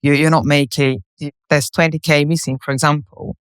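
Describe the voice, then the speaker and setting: A woman's voice: sits low, fairly even intonation, moderate pace, smooth, no room sound, clean studio sound. A woman in her thirties from Bulgaria, with a Bulgarian accent, telling her story as a podcast guest. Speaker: podcast guest, company co-founder. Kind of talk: conversational interview storytelling.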